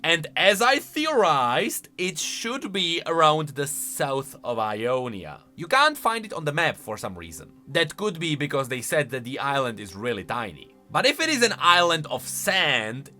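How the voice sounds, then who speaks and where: A man's voice: a little high, big pitch swings, measured pace, few pauses, pushed and bright, faint music behind. A man hosting a game lore channel with casual reaction commentary, musing aloud and leaning hard on key words.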